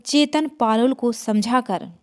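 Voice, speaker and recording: A woman's voice: on the high side, takes it slowly. A woman reading a scripted horror story as its narrator.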